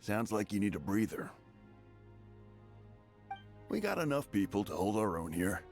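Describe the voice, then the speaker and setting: Low-voiced easygoing fighter. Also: male voice, sing-song, unhurried, darkish tone, faint music behind. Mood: reassuring. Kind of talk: video game voice acting.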